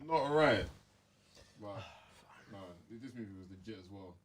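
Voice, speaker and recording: A man's voice: on the low side, animated, measured pace, distant mic. A man with a London accent chatting informally and in slang as a panellist on a film podcast. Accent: London British. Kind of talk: casual chat about films.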